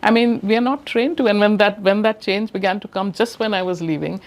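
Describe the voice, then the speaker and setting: A woman's voice: mid-range voice, fairly quick. An older woman with an Indian English accent, a professor emerita speaking as an interview guest, thoughtful and emphatic with frequent restarts. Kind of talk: reflective conversational interview.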